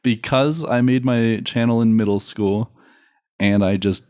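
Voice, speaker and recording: A man's voice: lowish voice, fairly even intonation, measured pace, a little mellow. A young adult man chatting casually as a podcast guest, rambling and joking with lots of filler "like". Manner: giggly, rambling storytelling with frequent "like".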